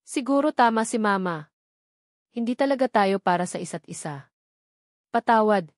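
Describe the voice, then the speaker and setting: A woman's voice: medium pitch, expressive, moderate pace, no echo, studio quality, natural voice. A woman voicing a pained, pleading young son torn between his mother and his love in a story. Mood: resigned.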